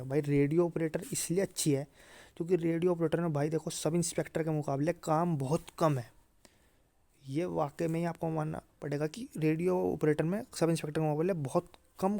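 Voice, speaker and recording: A man with a fairly high, slightly dark voice gives an informal explainer to students. He repeats key points for emphasis and keeps checking in with short tag phrases. The sound is close and dry. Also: no echo. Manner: repetitive check-in tags, emphatic repetition. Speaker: exam-career video presenter.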